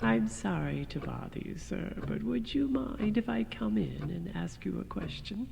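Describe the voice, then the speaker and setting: A woman playing a meek, absurdly polite male burglar in a radio comedy, creaky-voiced and apologetic. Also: mid-range voice, lively intonation, moderate pace, mellow. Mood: meek, pleading. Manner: apologetic, deferential. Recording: reverberant hall, faint background noise.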